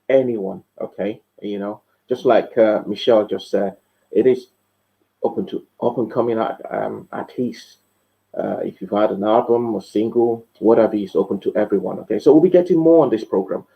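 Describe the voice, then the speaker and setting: A low-pitched man with a gravelly, croaky voice hosts a talk show, speaking casually and directly to his listeners. The sound is dull and lo-fi. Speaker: show host. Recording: dull lo-fi audio.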